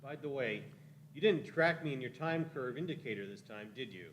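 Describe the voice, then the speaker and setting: A man's voice: medium pitch, expressive, a little fast, a little hiss or hum, lightly acted, low-quality recording. A man playing a shifty, cowardly, ingratiating time traveler in a radio play, wheedling and evasive. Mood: sly, ingratiating. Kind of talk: live radio-play acting.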